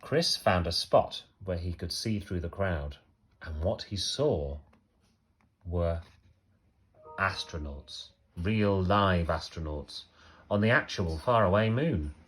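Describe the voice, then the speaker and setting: Deep-voiced man reading a children's storybook. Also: animated, slow pace, fairly bright tone, a little hiss or hum. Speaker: teacher reading aloud.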